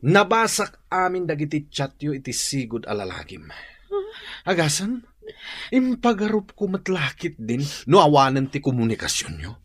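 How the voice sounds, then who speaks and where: A man's voice: on the high side, sing-song, normal speed, husky, no room sound. A man playing a hot-tempered, hard-drinking gambler husband in a radio drama, jeering and accusatory. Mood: bitter, accusing. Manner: explosive shouting, jeering, accusatory. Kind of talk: Filipino radio drama acting.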